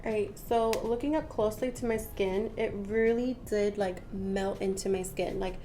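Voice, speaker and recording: A woman's voice: mid-range voice, fairly even intonation, normal speed, dark tone, faint music behind. Congested young woman chatting through a makeup tutorial. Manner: chatty, rambling, with many "um" and "like" fillers. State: congested from a cold. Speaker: beauty vlogger. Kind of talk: casual makeup tutorial chat. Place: small room at home, patterned wallpaper behind. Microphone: camera at close range, head and shoulders.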